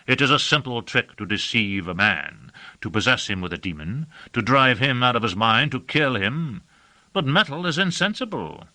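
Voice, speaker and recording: A man voicing a haggard, learned conjurer in an audiobook, lecturing in a measured, didactic manner. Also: medium pitch, big pitch swings, pushed and bright, no room sound. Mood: grave, explaining. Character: haggard, learned male demon-conjurer lecturing his lord.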